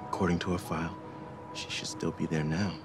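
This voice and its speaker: A middle aged american scientist, deep voice, gentle and protective, natural game drama, loud music behind. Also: male voice, little pitch movement, fairly quick. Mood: grave, careful. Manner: gentle, familiar, colloquial. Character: kind, protective middle-aged male government researcher and friend. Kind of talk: naturalistic cinematic game acting.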